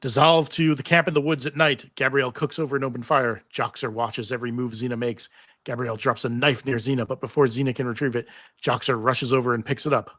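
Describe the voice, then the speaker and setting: A man's voice: mid-range voice, steady tone, fast, fairly bright tone, no echo, studio quality. A man reading stage directions as narrator in a live table read.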